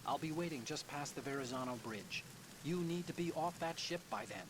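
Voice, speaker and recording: A man's voice: fairly high pitch, a little fast, lightly acted. An actor playing a confident, chatty tech-whiz hacker in a video game, earnest and slightly cocky as he explains. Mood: earnest, instructing. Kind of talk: video game cutscene acting. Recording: noisy mix with game sound effects.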